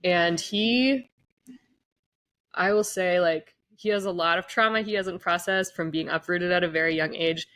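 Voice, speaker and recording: A woman's voice: lowish voice, unhurried, a bit bright, no echo. Casual, dry-sounding woman guest. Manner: casual, peppers speech with "like" and "you know". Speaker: podcast guest. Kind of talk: personal storytelling in conversation.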